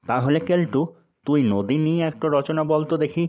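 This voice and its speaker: A man's voice: a little high, lively intonation, brisk, dark tone, dull audio, natural voice. A man voicing a sarcastic, scolding schoolteacher in a cartoon. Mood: exasperated. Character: exasperated middle-aged male village schoolteacher. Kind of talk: cartoon voice acting.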